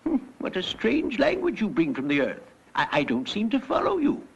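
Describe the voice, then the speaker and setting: An actor playing a fussy heavenly clerk in a cartoon, speaking with formal, puzzled politeness and some hesitation. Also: male voice, high voice, wildly swooping pitch, moderate pace, vocal fry, husky, lo-fi sound, exaggerated voice. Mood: polite, puzzled. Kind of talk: classic cartoon character acting.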